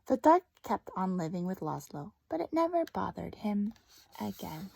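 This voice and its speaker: Female teacher for children's read-aloud; lively, wide-ranging pitch, slight fry, hushed asides to the kids. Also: moderate pace, slight background noise. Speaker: first grade teacher reading a picture book aloud. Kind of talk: animated read-aloud storytelling for young children.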